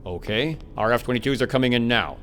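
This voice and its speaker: An actor playing a ruthless, commanding government agent in a video game cutscene. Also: male voice, mid-range voice, quick pace, a bit bright, a little hiss or hum, close to an everyday voice. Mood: businesslike.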